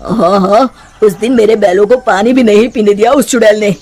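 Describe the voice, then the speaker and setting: A man voicing an anxious, excitable village farmer in a cartoon. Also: high-pitched, moderate pace, noisy background. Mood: worried. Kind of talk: Hindi cartoon voice acting.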